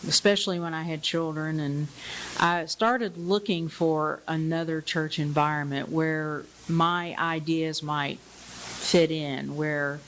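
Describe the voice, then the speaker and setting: An older woman with a low voice tells her story from a church pulpit. She is conversational and unhurried, with small laughs and plenty of fillers. Faint room noise sits behind her. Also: steady tone, a bit bright. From Bristol, Tennessee area. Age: 60s. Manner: conversational, chuckles lightly, many fillers. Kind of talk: personal testimony. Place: church sanctuary. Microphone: pulpit microphone.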